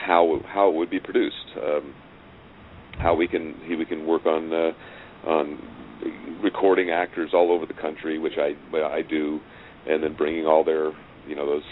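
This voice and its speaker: Very deep-voiced man on a tinny line. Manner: halting, repeats words as he explains. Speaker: interview guest, audio drama writer and producer. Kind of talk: conversational interview, explaining a project. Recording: noisy, tinny phone-like call line.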